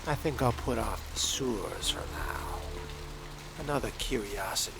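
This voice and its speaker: A man voicing a brooding, scholarly young vampire in a measured, formal, musing manner for a video game roleplay. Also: medium pitch, expressive, vocal fry, background noise. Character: brooding, scholarly male vampire fledgling, dryly curious. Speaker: player narrating in character.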